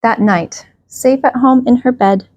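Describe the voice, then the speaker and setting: A woman reading a children's bedtime story aloud, expressively and with emphatic, drawn-out phrases. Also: fairly high pitch, a little mellow, dry recording, muffled recording.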